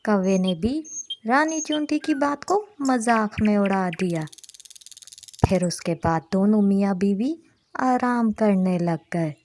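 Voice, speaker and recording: A woman's voice: medium pitch, animated, takes it slowly, a little mellow, slight background noise. A woman narrating a scripted moral story for children as a storyteller.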